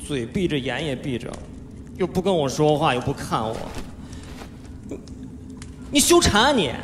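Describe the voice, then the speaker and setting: A male voice actor playing a playful, chatty, feverish young swordsman in a live audio drama, whiny and coaxing with drawn-out words. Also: on the high side, sing-song, background noise. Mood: bored, whiny. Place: large theatre stage, indoors. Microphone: handheld microphone. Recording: echoey large hall, music behind.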